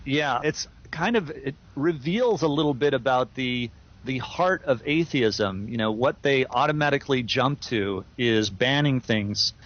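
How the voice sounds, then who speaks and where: A man's voice: medium pitch, lively intonation, measured pace, fairly bright tone. A man co-hosting a podcast, giving firm opinions in a casual chat with fillers and restarts. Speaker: podcast co-host. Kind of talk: casual chat, reading listener email. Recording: dull lo-fi sound.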